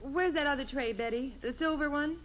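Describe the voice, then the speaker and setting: Harried American housewife, highish voice. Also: female voice, brisk, fairly bright tone. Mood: fretful. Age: middle-aged. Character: harried, gracious middle-aged housewife and mother. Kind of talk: 1950s radio sitcom acting. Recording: muffled, hissy old radio recording.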